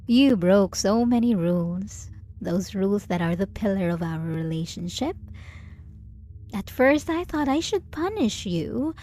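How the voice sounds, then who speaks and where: A woman playing a jealous, possessive yet doting lover in an intimate ASMR roleplay, teasing and condescending with deliberate pauses. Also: mid-range voice, animated, mellow. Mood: jealous, reproachful. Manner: slow, teasing, condescending pet-name cooing with deliberate pauses.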